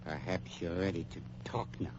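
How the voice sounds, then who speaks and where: An actor playing a coolly menacing funeral home owner with silky, formal politeness in an old-time radio crime drama. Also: male voice, sits low, moderate pace, close to an everyday voice. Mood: cold, menacing. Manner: formal, silkily polite, deadpan. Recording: muffled, noisy old radio recording.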